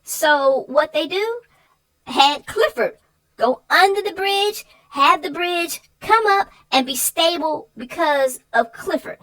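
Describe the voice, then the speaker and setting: A woman's voice: very high-pitched, animated, slow, fairly bright tone, low-quality recording. A woman chatting casually and emphatically as a guest co-host on a children's book show. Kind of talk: casual chat about a picture book.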